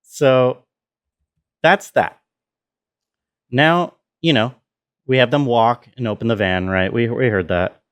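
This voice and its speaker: A man's voice: medium pitch, slow pace, long pauses, clear, resonant tone. A man giving an informal walkthrough as a sound designer, thinking aloud as he explains. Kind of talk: casual tutorial walkthrough.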